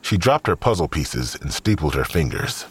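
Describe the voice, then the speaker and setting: A man narrating an audio drama as a sober storyteller, with a raspy voice. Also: extremely low pitch, huge pitch swings, moderate pace, exaggerated voice. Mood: sober, descriptive.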